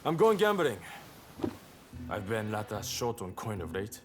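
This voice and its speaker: A man's voice: lowish voice, very animated, measured pace, faint music behind. A man playing an easygoing, cheeky young samurai gambler in a video game cutscene, with a breezy, teasing manner.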